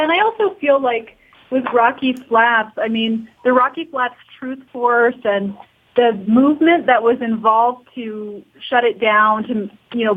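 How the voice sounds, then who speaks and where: Higher-voiced woman, thin band-limited audio. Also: phone-quality sound. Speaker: interviewed documentary producer. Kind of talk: informal interview discussion.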